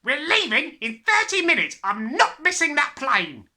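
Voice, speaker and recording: A man puts on a storybook dad who is always at the end of his tether. He barks curt orders in a raised, strained pitch, loud and forceful, with a bright hard edge. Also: huge pitch swings, measured pace, full character voice. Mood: angry, snapping. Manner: shouting, curt, harried. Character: loud irritable crooked father, snapping orders.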